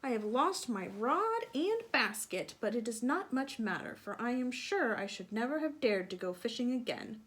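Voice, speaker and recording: An excitable storybook frog gentleman, acted out by a woman reader, fond of genteel exclamations. He is a fretful little angler with a fairly high voice. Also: normal speed, few pauses. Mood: relieved, rueful.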